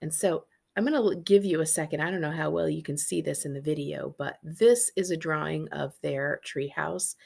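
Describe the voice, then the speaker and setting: A woman's voice: low voice, moderate pace, vocal fry, hardly any pauses, a little mellow, breathy edge. A woman, a librarian reading a children's book aloud with casual asides.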